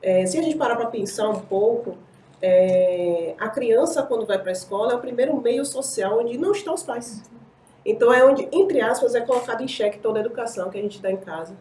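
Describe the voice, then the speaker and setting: A Brazilian woman on a panel explains her views in a steady, mellow voice, filling pauses with "é" and asking "sabe?". She sits some way off the mic in a roomy, echoing space, with a faint background hum. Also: a little high. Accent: Brazilian Portuguese. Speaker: panel guest. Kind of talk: panel discussion. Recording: distant mic in echoey room.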